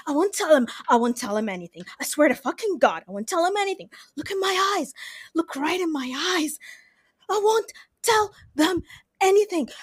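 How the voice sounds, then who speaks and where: A gravely hurt robber in a crime audio drama. The voice is very high and swings widely in pitch, full of pain, fear and desperate, foul-mouthed pleading, in a dry, close recording. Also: female voice, a bit bright, dry recording, full character voice. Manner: pleading, profane. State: in pain, distressed. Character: badly wounded robber, frightened and desperately pleading.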